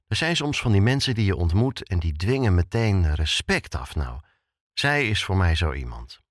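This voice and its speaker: A man narrates a podcast in a low-pitched voice. His intonation moves around a lot, and he reads his script conversationally, talking straight to the listener. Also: no echo, studio quality. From Netherlands. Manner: conversational, addresses the listener directly. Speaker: podcast host.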